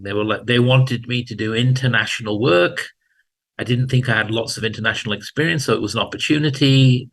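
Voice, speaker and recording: Older Mancunian man, lowish voice, unhurried and wry as an interview guest. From England. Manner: relaxed, storytelling, dry humor. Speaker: guest, hospital chief information officer. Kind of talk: casual interview talk.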